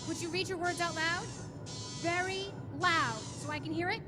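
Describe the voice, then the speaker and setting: A woman's voice: very high-pitched, steady tone, moderate pace, music in the background. An actress playing a plucky but frightened 1920s young woman in a live radio play, urgent and exclamatory. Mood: nervous, coaxing.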